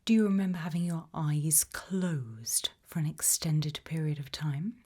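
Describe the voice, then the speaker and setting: A British woman speaking in a breathy whisper, calmly asking measured questions in an ASMR roleplay interview. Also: deep voice. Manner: calm, measured, careful questioning. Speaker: ASMR roleplayer playing an abduction investigator.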